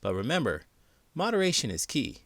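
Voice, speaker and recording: A man hosting a children's read-aloud, narrating in an expressive, theatrical storytelling style. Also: mid-range voice, brisk, no room sound.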